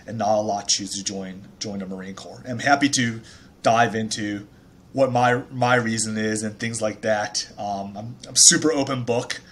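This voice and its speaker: A man's voice: lowish voice, fairly even intonation, moderate pace, a bit bright. A man in his thirties from Taiwan, a startup founder telling his life story in a casual interview, chatty with many fillers and restarts.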